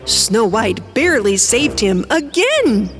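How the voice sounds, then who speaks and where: A woman's voice: on the high side, big pitch swings, slow pace. A woman narrating a children's fairy tale as a scripted storyteller. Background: music.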